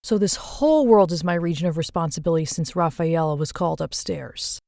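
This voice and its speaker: A woman's voice: fairly low pitch, a little fast, creaky, darkish tone, no echo, clean studio sound. A woman playing a curt, officious angelic boss with a clipped, sardonic manner in a comic dub. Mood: indignant. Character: curt, officious female heavenly bureaucrat boss.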